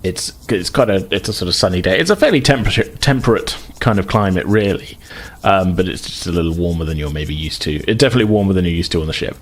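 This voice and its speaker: A deep-voiced man acts as game master for a tabletop role-playing session. He builds his descriptions on the fly, often doubling back to rephrase them in a halting, conversational way. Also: expressive, a little hiss or hum.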